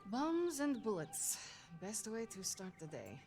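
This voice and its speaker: A woman's voice: mid-range voice, big pitch swings, takes it slowly, darkish tone, faint music behind. An actress playing a teasing, defiant young wartime woman with a Russian accent, in a cinematic game. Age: young adult. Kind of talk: cinematic video game acting.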